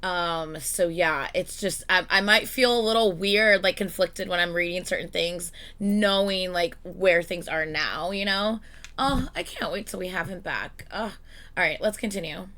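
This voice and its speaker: A woman hosting a reaction video, talking in a chatty, excitable way and swearing freely. Her voice is bright and sharp but slightly hoarse and congested today. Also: on the low side, unhurried. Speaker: reaction channel host. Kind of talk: casual reaction vlog chat.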